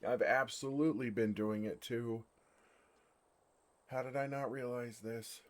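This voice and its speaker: A man voicing an ancient, gentle and oblivious dragon in an ASMR roleplay, with a halting, bewildered and earnest delivery. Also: mid-range voice, fairly even intonation, lightly acted. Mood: flustered realization.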